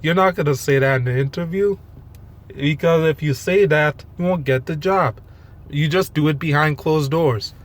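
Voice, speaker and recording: A man with a slightly high voice talks off the cuff to his followers. His delivery is monotone and halting, with thoughts loosely strung together. He is blunt, self-deprecating and casual about swearing. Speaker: fitness vlogger. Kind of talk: unscripted rant to followers.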